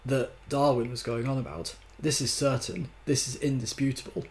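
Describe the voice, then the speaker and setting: A man's voice: mid-range voice, steady tone, moderate pace, close to an everyday voice. A man voicing an earnest, pedantic young man who insists pompously, in a storybook reading. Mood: earnest, insistent. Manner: earnest, emphatic, pompous phrasing.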